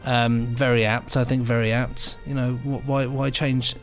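A man co-hosts a podcast in a chatty way, putting on a touch of playful grandeur. His voice is mid-pitched, and music plays faintly behind him. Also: flat delivery, moderate pace. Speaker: podcast co-host. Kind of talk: light, chatty podcast presenting.

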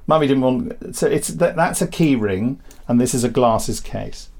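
A man's voice: medium pitch, animated, measured pace, creaky, a little mellow, a little hiss or hum. A middle-aged British man hosting a podcast in casual chat, with a jokey, rambling, self-mocking way of talking. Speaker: podcast host.

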